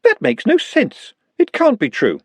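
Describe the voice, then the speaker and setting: A man voicing a flustered, high-pitched young wizard. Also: huge pitch swings, a little slow, husky, no echo, full character voice. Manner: flustered, fussy. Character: excitable high-strung young male wizard academic.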